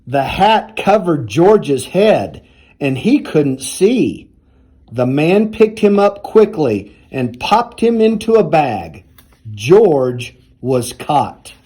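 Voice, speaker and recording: A man reading a children's storybook aloud in a deliberate, expressive storytelling manner. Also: fairly high pitch, husky. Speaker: university athletics director reading aloud.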